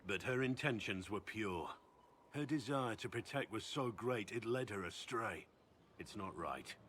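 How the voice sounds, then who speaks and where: A man's voice: fairly low pitch, animated, measured pace, a bit bright, a little hiss or hum. An actor playing an earnest male Grey Warden speaking in a reflective, solemn way.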